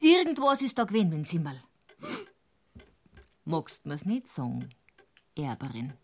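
Blunt, low-voiced Bavarian village woman. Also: wildly swooping pitch, measured pace, full character voice. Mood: suspicious, probing. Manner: plain-spoken, probing. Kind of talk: German radio crime drama acting.

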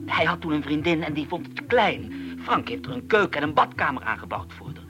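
An actor playing an unsettled man, oversensitive to noise, who talks in a slow, brooding monologue for a radio play. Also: fairly high pitch, sing-song, over music. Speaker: troubled man being questioned about a friend's death.

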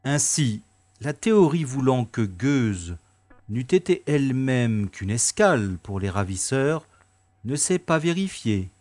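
Man acting a slow-spoken, wry space agent. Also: mid-range voice, very animated, a little mellow. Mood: wry, confident. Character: Less Strade, wry, world-weary male space special agent. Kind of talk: science-fiction audio drama acting with inner monologue.

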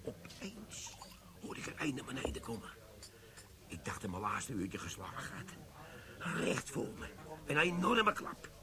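An actor playing an older army sergeant who defuses bombs, in a radio play, telling war stories and giving brisk orders. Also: male voice, medium pitch, very animated, creaky, a crowd in the background. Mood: storytelling. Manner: storytelling, then brisk and commanding. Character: gruff older male army bomb sergeant.

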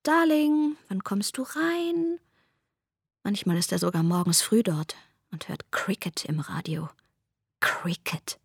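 An actress performing a radio drama monologue, a wife narrating in the first person, wry and exasperated. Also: female voice, mid-range voice, slow pace, gravelly, husky, dry recording, clean studio sound.

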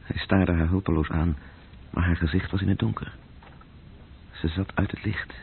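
Deep, gravelly, breathy male narrator. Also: fairly even intonation, normal speed, slight background noise. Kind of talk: scripted literary radio drama narration. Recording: muffled, tinny old radio-play recording.

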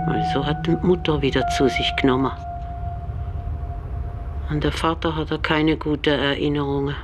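Male actor as man recounting troubled boyhood, fairly high voice, radio drama narration, music behind. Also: close to an everyday voice.

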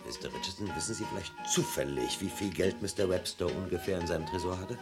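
An actor playing a persistent, wry police detective in a German radio crime drama, questioning and recounting in a dry, conversational way. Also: male voice, sits low, quick pace, over loud music, close to an everyday voice. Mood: insistent.

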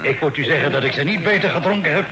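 Male actor for a friendly sea captain, higher voice, crowd noise. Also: animated, fast, lightly acted. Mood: genial. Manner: genial, complimentary. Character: genial male sea captain, worldly guest.

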